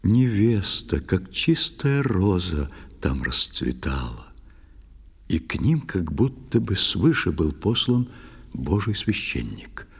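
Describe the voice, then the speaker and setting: A man narrating a verse tale in a dramatic audio play, solemn and expressive, with measured phrasing. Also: sits low, vocal fry, husky. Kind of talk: dramatic verse storytelling for children. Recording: music under the voice. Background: music.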